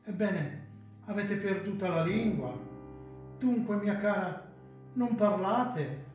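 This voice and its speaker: A man's voice: sits high, takes it slowly. A man acting a chatty, faintly condescending hotel waiter in a theatrical radio drama. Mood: patronising, insistent. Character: chatty, teasing hotel waiter, male adult. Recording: echoey room, faint guitar music behind.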